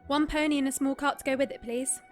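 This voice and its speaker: Tough monster hunter, a runaway princess who swears freely, voiced by a woman, a bit high, faint music behind. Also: steady tone, fast, natural voice. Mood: kind. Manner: blunt and curt, swears freely. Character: blunt young woman monster hunter, foul-mouthed princess. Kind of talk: fan audio drama acting.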